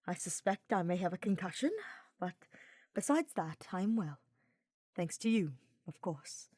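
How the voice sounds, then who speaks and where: Woman as a stiff, low-pitched male dark mage. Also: a little slow, husky, no room sound, clean studio sound. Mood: reserved. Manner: formal, clipped, grudging.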